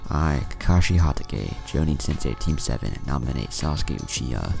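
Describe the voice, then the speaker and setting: Guy reading as calm formal ninja sensei in a story, low gravelly voice. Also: normal speed, over music, full character voice. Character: calm male ninja squad teacher, formal.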